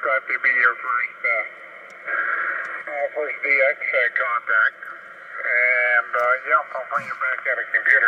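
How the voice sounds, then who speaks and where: Distant man over crackly shortwave radio. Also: medium pitch. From Canada. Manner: halting, many "uh" fillers. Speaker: amateur radio operator. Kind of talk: ham radio contact. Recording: distant, muffled shortwave radio with loud static.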